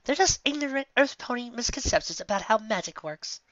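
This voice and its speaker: A man's voice: extremely high pitch, lively intonation, fairly quick, fairly bright tone, no room sound, dull audio, voice pushed up. A man voicing a haughty, indignant female pony scholar who talks in a snooty, dismissive way.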